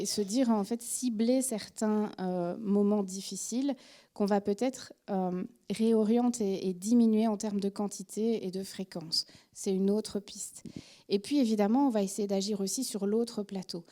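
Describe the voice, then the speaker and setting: A woman in her forties from France, with a French accent touched by Belgian speech, giving a chatty, animated talk on parenting as a psychologist, full of fillers and restarts. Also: mid-range voice. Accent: French with a Belgian tinge. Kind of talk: informal lecture to an audience.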